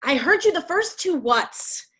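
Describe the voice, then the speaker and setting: A woman's voice: high voice, very animated, a little slow, pushed and bright, full character voice. A woman acting an anxious, apologetic activist in a stage comedy over a video call, earnest and hesitant. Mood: sheepish, worried. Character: anxious, apologetic female activist with a strict wife.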